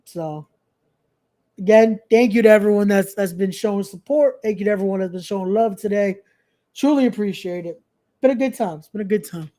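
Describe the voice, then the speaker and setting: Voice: medium pitch, brisk, dark tone. Casual podcast host, slangy and repetitive. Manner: repeats phrases, informal slangy asides. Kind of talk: casual live-stream chat with event promotion.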